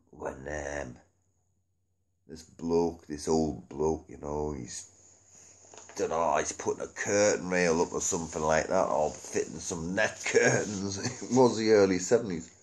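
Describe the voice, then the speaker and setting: Low-voiced British man, animated pitch. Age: middle-aged. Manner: sweary, colloquial, chuckling often. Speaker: amateur DVD reviewer. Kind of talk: casual rambling chat to camera.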